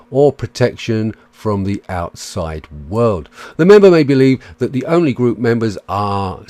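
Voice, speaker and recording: A man's voice: mid-range voice, a little mellow, dry recording. A man reading aloud and commenting in a wry, sardonic manner.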